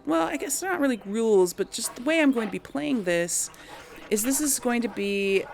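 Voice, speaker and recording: A woman's voice: on the high side, animated, normal speed, creaky, a little mellow, soft background music. A woman hosting a casual game playthrough, chatting informally to her audience and thinking aloud. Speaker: host of a game playthrough series. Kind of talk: casual chatty let's play commentary.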